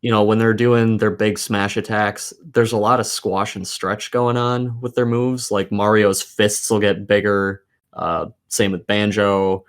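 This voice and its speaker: Male gaming co-host, fairly low register, opinionated and foul-mouthed in casual discussion. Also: little pitch movement, measured pace, clean tone. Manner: profane, opinionated. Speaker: podcast co-host. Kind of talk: casual video game discussion.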